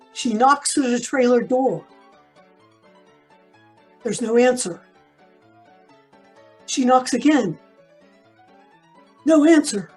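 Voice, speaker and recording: A woman reading scripted scene narration for a radio play in a husky, breathy voice. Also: a little high, darkish tone.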